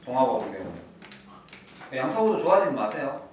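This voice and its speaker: A middle-aged male English teacher giving an exam-prep lecture to high school students, emphatic and lively, dropping to low asides. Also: fast talker, a little hiss or hum. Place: academy classroom. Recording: echoey classroom from a distance.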